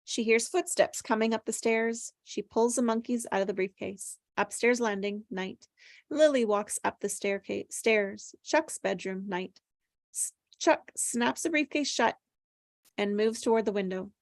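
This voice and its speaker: A woman's voice: a little high, measured pace, no room sound, studio quality. A woman reading stage directions aloud from a script at a table read, as scripted narration.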